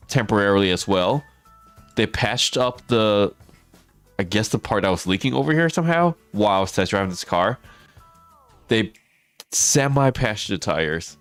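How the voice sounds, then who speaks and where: A man with a very low, raspy voice, hoarse from losing it, talks easily. The sound is close and dry, with soft music playing behind him. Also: dry recording. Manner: chatty, talks to viewers by name, laughs often. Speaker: streamer. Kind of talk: casual livestream chat.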